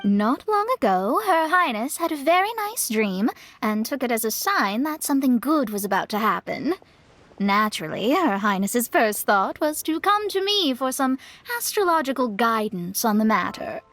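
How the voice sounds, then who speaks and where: A woman's voice: a little high, big pitch swings, normal speed, fairly bright tone, slight background noise. A woman voicing a proud, earnest young female astrologer in a video game, self-assured and a little prim as she explains herself.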